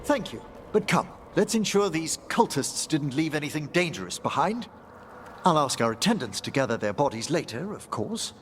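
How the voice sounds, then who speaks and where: A man's voice: fairly high pitch, sing-song, normal speed, a little hiss or hum. A man playing a middle-aged scholarly necromancer in a video game, formal, eloquent and polite, proud of his expertise. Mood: commanding, excited.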